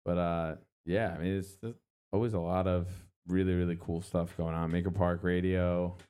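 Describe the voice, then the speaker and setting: A man's voice: low-pitched, level tone, moderate pace, warm tone, clear, resonant tone. A man hosting a show, chatting casually and plugging events in a laid-back, conversational way. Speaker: radio or podcast host.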